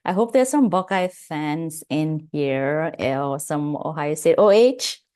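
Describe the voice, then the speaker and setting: A woman's voice: sits low, lively intonation, slow, a little mellow. A woman from Cameroon with a Cameroonian accent, giving a chatty, personal webinar presentation over a video call. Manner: chatty, personal, asides to the audience. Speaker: guest presenter, pharmacist.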